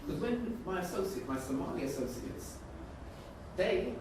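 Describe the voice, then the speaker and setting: An emphatic community organiser with a mid-pitched voice talks informally to a group, repeating phrases to drive points home. The sound is very echoey, picked up from a distance with clear background noise. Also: sing-song, moderate pace. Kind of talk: informal speech at a group discussion. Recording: echoey room, distant mic, noisy.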